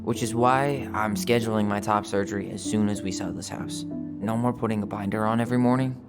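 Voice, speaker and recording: A man's voice: on the low side, fairly even intonation, a little fast, loud music behind the voice, natural voice. Actor as wry young American man. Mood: reflective. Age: young adult. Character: wry young transgender man, guarded but sincere. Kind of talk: naturalistic game dialogue.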